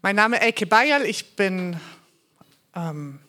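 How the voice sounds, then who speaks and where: A woman's voice: mid-range voice, lively intonation, extremely slow, sharp tone. Chatty woman giving a talk. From Bavaria, raised in Württemberg. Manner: chatty, joking, with fillers and restarts. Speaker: charity regional manager giving a talk. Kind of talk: casual presentation talk.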